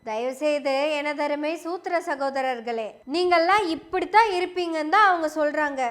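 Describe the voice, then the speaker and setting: Very high-pitched sarcastic woman commentator. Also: moderate pace, a bit bright. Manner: sarcastic, rhetorical questions. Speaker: political commentator. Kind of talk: opinion monologue to camera.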